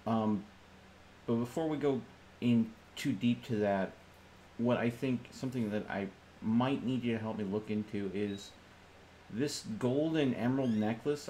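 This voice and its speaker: A man's voice: lowish voice, darkish tone, slight background noise. A man playing a tabletop roleplaying game on a stream, talking casually in character and bantering with the group.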